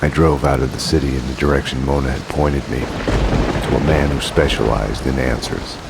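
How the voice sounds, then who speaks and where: An actor playing a world-weary hard-boiled detective in a video game, delivering terse, deadpan noir lines. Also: male voice, extremely low pitch, moderate pace, vocal fry, very noisy. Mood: grim, brooding. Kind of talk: scripted noir game narration.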